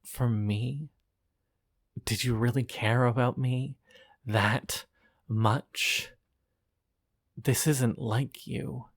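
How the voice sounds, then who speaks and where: A narrator performing scripted horror fiction in a deliberate, dramatic manner, as a dying lover speaking in haunting reproach. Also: medium pitch, fairly even intonation, no echo, clean studio sound. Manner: slow, deliberate, dramatic, with pauses.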